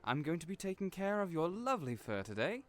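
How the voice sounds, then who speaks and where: A flamboyant hairstylist, put on by a man for an audio roleplay, with a voice pitched noticeably higher than the performer's own. His delivery swoops up and down, and he is fussy and playful, always teasing. He fusses over his client like a doting parent. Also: big pitch swings, a little fast.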